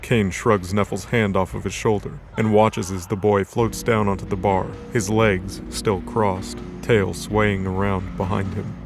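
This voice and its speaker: A man's voice: on the low side, level tone, unhurried, few pauses. A man reading scripted narration for a light novel audio drama. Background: background music.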